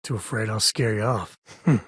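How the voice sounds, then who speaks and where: A man voicing a rugged, good-hearted space explorer and father in a video game, with a husky rasp and a folksy, earnest way of talking. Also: fairly low pitch, moderate pace, dry recording, studio quality. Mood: sincere. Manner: folksy, colloquial, earnest delivery.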